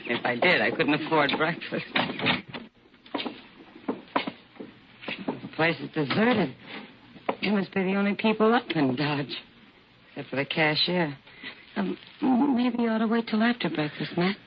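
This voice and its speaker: A woman's voice: sits low, lively intonation, normal speed. An actress playing a self-assured, wry, friendly frontier woman in a western radio drama. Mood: dry, teasing. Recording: muffled, noisy old radio recording.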